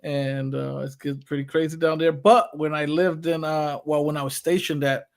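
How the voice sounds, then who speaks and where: A man's voice: fairly high pitch, flat delivery, moderate pace. A man from South Florida chatting in a casual, joking way on a podcast. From South Florida, United States. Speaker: podcast participant.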